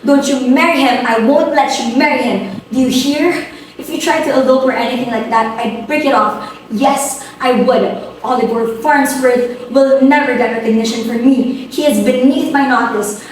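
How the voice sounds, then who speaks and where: In a very reverberant room, some way from the mic, a woman acts out an imperious, easily exasperated society hostess. Her voice is high and theatrical, full of indignant exclamation, as in an amateur staging of a comic play, with faint noise on the recording. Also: moderate pace, distant mic, lightly acted. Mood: melodramatic despair. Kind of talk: amateur dramatic reading of a comic stage play.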